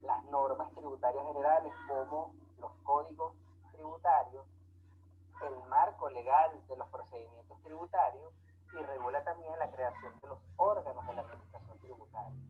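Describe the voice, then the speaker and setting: A man from Venezuela, giving a measured online lecture with frequent hesitations. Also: mid-range voice. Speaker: lecturer on tax law. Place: home, remote online talk. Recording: tinny phone-like audio, faint noise.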